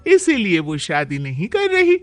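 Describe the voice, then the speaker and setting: Snide young sister-in-law for a cartoon tale, man performing, high-pitched, sarcastic, always needling. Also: wildly swooping pitch, unhurried, faint music behind, full character voice. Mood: mocking. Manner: mocking, sarcastic. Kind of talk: animated moral story dialogue.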